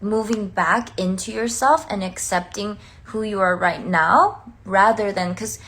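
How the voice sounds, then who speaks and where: Woman, mid-pitched, chatty livestream talk, heartfelt, lots of "like.". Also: measured pace, fairly bright tone. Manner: chatty, heartfelt, many "like" fillers. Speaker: singer and dancer on a livestream. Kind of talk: casual livestream chat.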